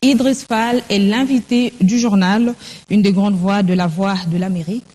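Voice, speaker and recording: A woman presenting the TV news, reading the bulletin in a measured, formal way and interviewing a guest in the studio. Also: medium pitch, dark tone, big-room sound. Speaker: news anchor.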